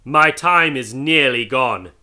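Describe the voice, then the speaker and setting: A man voicing an anguished male ghost in chains, declaiming in a wailing, drawn-out way. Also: mid-range voice, pushed and bright. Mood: mournful. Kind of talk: dramatic audiobook reading.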